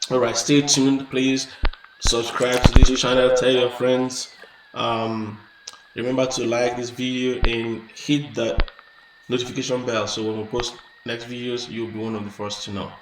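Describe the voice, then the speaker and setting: A man hosting a casual hands-on electronics tutorial, explaining step by step with frequent restarts and fillers. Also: medium pitch. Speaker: electronics channel host. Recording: echoey room, mic at a distance, noisy.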